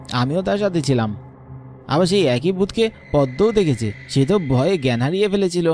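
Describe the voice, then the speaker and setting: A man's voice: on the high side, darkish tone. A man voicing several frightened village men in a horror cartoon, stammering often. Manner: stammering, fearful. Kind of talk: cartoon voice acting. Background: music.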